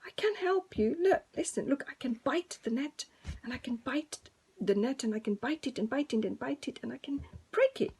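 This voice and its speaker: Woman doing a tiny, high-pitched eager mouse. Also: lively intonation, moderate pace, darkish tone, breathy edge, full character voice. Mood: eager, reassuring. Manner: pleading, earnest, eager. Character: tiny playful male mouse, pleading and eager to help.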